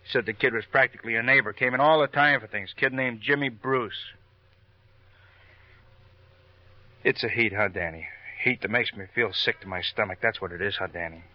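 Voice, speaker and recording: An actor playing a weary, edgy American police detective who grumbles in terse fragments in an old-time radio crime drama. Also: male voice, on the low side, fast, hardly any pauses, lightly acted. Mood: troubled. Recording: dull, dry vintage radio recording.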